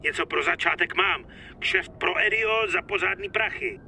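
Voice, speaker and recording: A man's voice: sits high, sharp tone, slight background noise. An actor playing a hot-headed, foul-mouthed mobster in his thirties, with coarse, blunt delivery in a dubbed crime game. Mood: brusque. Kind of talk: dramatic dubbed video game acting.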